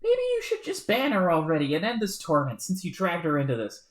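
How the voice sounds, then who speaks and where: A woman voicing an exasperated teenage girl who pleads in a high voice. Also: wildly swooping pitch, fairly quick. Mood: pleading. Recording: roomy echo.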